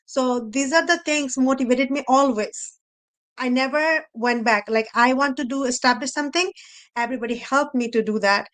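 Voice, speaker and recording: A woman's voice: high-pitched, normal speed, fairly bright tone. A woman speaking non-native English, giving an earnest, unscripted motivational talk as a host on a panel, often repeating herself in loose phrasing. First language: not English. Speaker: host and speaker on a women entrepreneurs' live panel.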